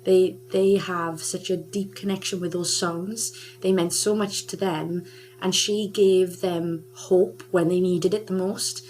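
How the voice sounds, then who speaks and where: A woman's voice: fairly low pitch, fairly even intonation, a little slow, soft background music, poor audio. Chatty young woman with frequent laughter. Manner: chatty, warm-hearted, laughs often, many "um"s. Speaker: guest singer. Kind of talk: casual radio interview.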